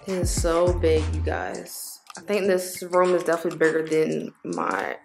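A woman's voice: on the low side, moderate pace, creaky, music in the background. A young woman vlogger giving a chatty, gushing walk-through of her empty new apartment, filming on a handheld phone. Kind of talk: casual apartment tour. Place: empty apartment indoors, rooms and patio. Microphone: handheld phone camera.

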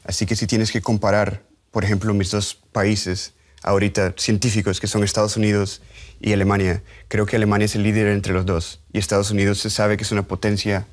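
Guest scientist, male, 30s, Honduran with American tinge, English-native, studio lapel mic, halting. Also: fairly low pitch, flat delivery. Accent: Honduran Spanish with American English. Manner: halting, restarts words, gestures while talking. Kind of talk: studio interview.

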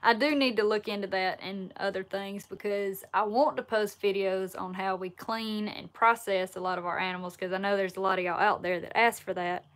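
A woman in her twenties with a Southern Alabama accent, chatting casually on a livestream and answering viewer questions in a relaxed, folksy way from a wood-paneled room. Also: medium pitch, normal speed, few pauses. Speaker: outdoors YouTuber answering live chat. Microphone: laptop or phone camera at arm's length.

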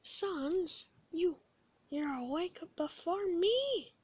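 A woman voicing an earnest, caring, excitable male skeleton in an amateur comic dub, dramatic and formally phrased. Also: very high-pitched, very slow, voice pushed up, heavily acted. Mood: startled. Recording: dull, echoey room recording.

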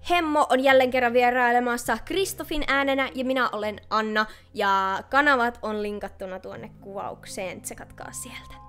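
A woman's voice: fairly high pitch, steady tone, hardly any pauses, a bit bright, clear, resonant tone, soft background music. A young woman in her twenties chatting casually as a YouTuber who makes fan dubs. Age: 20s. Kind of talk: casual vlog chat. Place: home kitchen, indoors. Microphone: large studio mic close to the face.

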